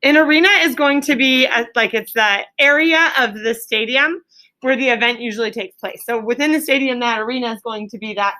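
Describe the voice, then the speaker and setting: A woman's voice: on the high side, a little fast, pushed and bright. A woman teaching a classroom reading lesson to children, explaining things simply, repeating key words and prompting pupils with questions. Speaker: elementary school teacher.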